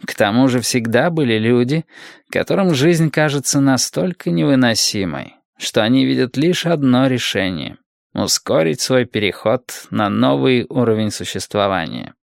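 A man voicing a calm, cultured, ancient male guardian from another world, velvety and formal, with measured, deliberate phrasing. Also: mid-range voice, gravelly, no echo, clean studio sound. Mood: calm, thoughtful.

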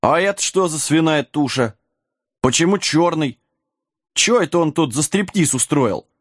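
Guy doing a whiny young wizard apprentice, mouthy and melodramatic, pitched up, audiobook fantasy. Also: expressive, unhurried, no echo, clean studio sound. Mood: mocking. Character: cheeky, melodramatic young male sorcerer's apprentice, irreverent. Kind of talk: audiobook character acting.

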